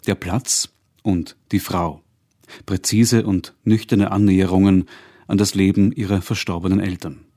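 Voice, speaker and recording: A man giving a measured, factual scripted introduction to a radio play as presenter. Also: fairly low pitch, mellow.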